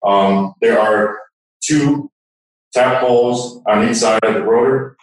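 Man, lowish voice, technical demonstrator, conversational, strongly echoing room, away from the mic. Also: slow. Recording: echoey room, distant microphone.

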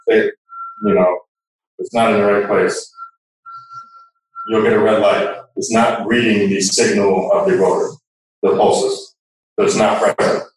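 A man giving an informal technical explanation as a product expert, halting as he searches for words. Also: on the low side. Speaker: product expert guest demonstrating. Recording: echoey room, distant from mic.

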